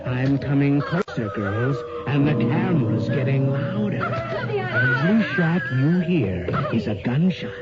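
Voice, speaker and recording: An actor playing a driven, domineering photographer in a 1980s radio horror drama, barking directions and coaxing theatrically. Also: male voice, mid-range voice, wildly swooping pitch, a little slow. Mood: menacing, intense. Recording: muffled vintage radio recording with loud music.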